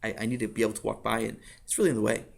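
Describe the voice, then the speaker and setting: A man voicing a patient but exasperated father, reasoning haltingly with his child in a told story. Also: medium pitch, very animated, fairly bright tone.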